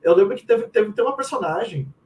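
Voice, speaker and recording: A Brazilian man with a notably high, very lively voice talks informally as an interview guest, full of slang and quoted asides. He sounds set back from the microphone, with faint noise around him. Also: quick pace. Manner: informal, slangy, often quoting own thoughts. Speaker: game writer, interviewee. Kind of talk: casual chat, animated anecdotes. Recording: distant mic with faint room noise.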